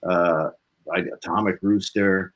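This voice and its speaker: Deep low guy in his 60s, musician guest just chatting, informal and rambly. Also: fairly even intonation, slow, fairly bright tone. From Canada. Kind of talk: casual interview chat.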